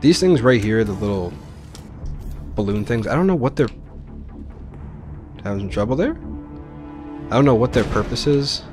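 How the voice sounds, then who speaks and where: A man's voice: mid-range voice, expressive, moderate pace, long pauses, a little mellow. A man giving casual, rambling and exclamatory commentary as host of a video game let's play. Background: game music and sound.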